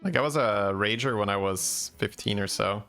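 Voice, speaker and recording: A man giving relaxed, chatty game commentary and answering chat questions, with faint music behind. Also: lowish voice, normal speed, gravelly. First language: Dutch. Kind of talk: casual gameplay commentary.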